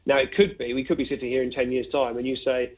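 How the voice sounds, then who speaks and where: A man's voice: mid-range voice, little pitch movement, quick pace. A man being interviewed as an investment fund manager, talking conversationally and thinking aloud with asides and examples over a phone-like line. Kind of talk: conversational market commentary. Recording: narrow, tinny phone-like line.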